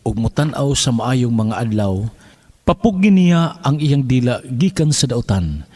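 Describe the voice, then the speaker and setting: A man narrating a scripted scripture reading in a measured, formal recitation. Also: medium pitch, big pitch swings, darkish tone. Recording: clear music behind.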